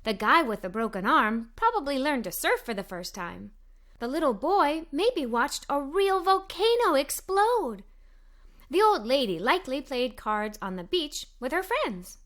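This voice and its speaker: Woman, children's storyteller, bright voice, very lively intonation, expressive cheerful young-girl narration. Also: high-pitched, unhurried. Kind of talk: scripted read-aloud storytelling for kids.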